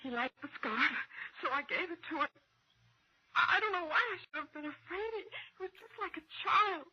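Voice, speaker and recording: Frightened young American wife, very high pleading voice, 1960s radio drama, dull vintage sound. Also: female voice, lively intonation, normal speed, very noisy. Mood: shaken. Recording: dull old radio recording.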